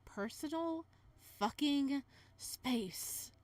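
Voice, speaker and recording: A woman's voice: high-pitched, lively intonation, extremely slow, no echo, pitched above the performer's own voice, exaggerated voice. A woman voicing a self-conscious, exasperated young man, the protagonist of a visual novel, with sarcastic grumbling. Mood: annoyed.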